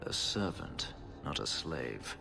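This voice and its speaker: An actor playing a brooding, bitter elf warrior and escaped slave in a video game, curt and intense. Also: male voice, extremely low pitch, sing-song, takes it slowly, creaky, music in the background, exaggerated voice. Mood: cold contempt. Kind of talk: dramatic video game acting.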